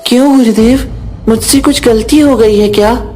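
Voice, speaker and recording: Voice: medium pitch, normal speed, a little mellow, faint music behind, natural voice. An actor voicing a schoolboy in a Hindi moral story, speaking in dialogue. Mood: meek, earnest. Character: effeminate schoolboy who loves cooking, and teasing classmates.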